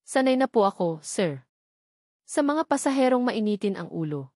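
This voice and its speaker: A woman's voice: mid-range voice, big pitch swings, moderate pace, a little mellow, dry recording, clean studio sound. A woman storyteller voicing a reserved, proud young woman driver in a romance story, polite and guarded.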